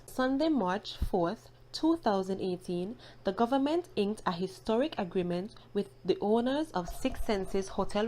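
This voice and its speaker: A woman's voice: medium pitch, mellow, poor audio. A woman reading scripted news as a presenter in a formal, careful manner. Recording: faint ambient noise behind narration.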